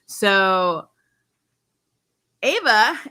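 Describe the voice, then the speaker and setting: Bright-voiced woman chatting through a recap. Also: mid-range voice, very animated, drawn-out words. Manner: rambling, self-correcting, giggly, informal. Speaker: host of a TV show recap livestream. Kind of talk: casual chatty episode recap with acted-out quotes.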